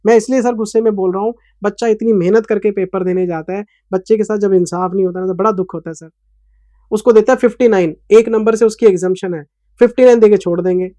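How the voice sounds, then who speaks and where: A man's voice: high-pitched, steady tone, fast talker, warm tone, no room sound. A man in his forties, an accounting teacher giving a revision lecture in a passionate, scolding then explanatory manner, with an Indian English accent. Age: 40s.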